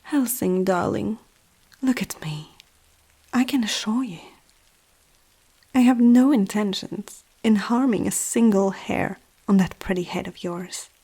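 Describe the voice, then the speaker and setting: A woman voicing a powerful, teasing female vampire noble in an ASMR roleplay, speaking softly and often close to a whisper, with an aristocratic, self-assured manner. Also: medium pitch, unhurried. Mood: reassuring, teasing. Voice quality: soft, breathy, often near whisper. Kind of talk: ASMR roleplay addressed to the listener.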